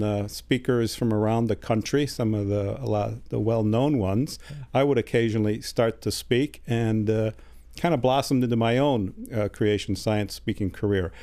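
A man in his sixties, a studio interview guest, answering in a measured way with many hesitant fillers. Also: medium pitch, warm tone. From United States.

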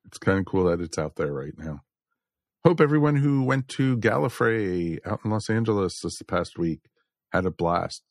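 A man's voice: deep voice, animated, normal speed, no room sound, studio quality. An American man from the Southeast co-hosting a podcast, chatting casually and addressing listeners in a folksy way. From southeastern United States. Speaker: podcast co-host. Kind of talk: casual chat.

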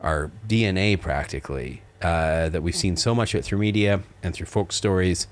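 A middle-aged man with a very low, deep voice chats casually as a podcast co-host. He rambles, circling back with fillers and restarts as he explains ideas. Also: normal speed.

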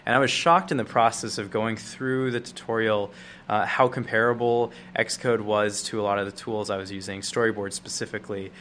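A man's voice: on the low side, fairly even intonation, moderate pace, a bit bright, slight background noise. A man hosting an interview, asking questions and offering thoughtful comments. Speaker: interview host. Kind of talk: podcast interview.

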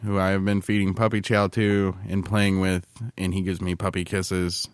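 Lowish-voiced sardonic man hosting a podcast. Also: flat delivery, normal speed, clear, resonant tone. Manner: teasing, sardonic. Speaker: podcast host. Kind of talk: bantering casual podcast chat.

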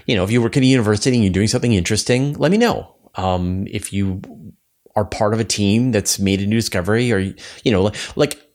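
A man's voice: lowish voice, fast, darkish tone. A man talking casually and enthusiastically as a podcast host, thinking aloud with emphatic points. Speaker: podcast host and science news publisher.

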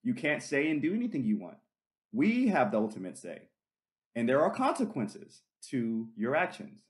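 Middle-aged male host, earnest, halting with "uh"s, mid-pitched voice. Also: wildly swooping pitch. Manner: earnest, with frequent fillers and restarts. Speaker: podcast host. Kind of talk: casual chat.

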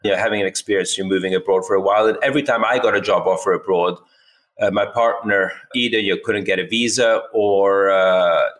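Italian guy, accent all mixed up british american swedish, lowish voice, lots of you know, thinking out loud. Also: a little fast. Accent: mixed British, American and Swedish English. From Italy. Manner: thoughtful, repeats words as he thinks, many "you know" fillers. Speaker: guest. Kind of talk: casual interview, recounting his career.

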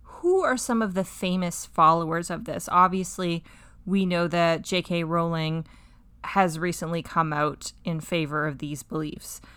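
A woman hosting a podcast, asking measured, direct interview questions. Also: fairly low pitch, fairly bright tone, clean tone, no echo. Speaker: podcast host.

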